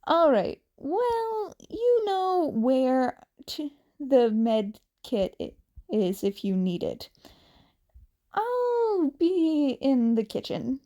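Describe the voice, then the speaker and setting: Woman voicing casual young male superhero, audiobook dialogue, teasing, high pitch, creaky edge. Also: very animated, drawn-out words, warm tone, full character voice. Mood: breezy, teasing.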